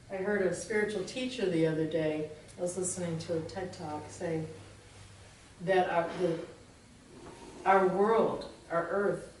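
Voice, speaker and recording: A woman teacher speaks casually to a gathered group, self-deprecating and quick to laugh. Her voice carries from a distance across a large, very echoey room. A faint murmur of room ambience sits underneath. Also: fairly low pitch, a little mellow, slight background noise. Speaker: spiritual teacher. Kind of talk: informal dharma talk to a group.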